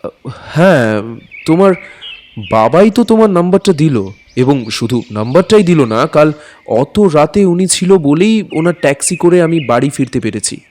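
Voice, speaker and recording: A man's voice: a little high, animated, moderate pace, a little mellow, slight background noise, natural voice. An actor playing a courteous, hesitant maths tutor, telling his own eerie story in an audio drama. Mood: friendly, then concerned. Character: anxious, courteous male maths tutor recounting an eerie night. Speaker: narrator and lead character. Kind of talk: dramatic first-person ghost-story narration.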